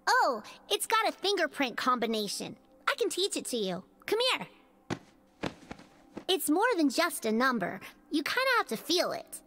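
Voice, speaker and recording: A woman's voice: high-pitched, sing-song, moderate pace, bright, forceful tone, full character voice. A woman voicing a sly, bossy young female intern in a video game, teasing and conspiratorial. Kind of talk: animated video game acting.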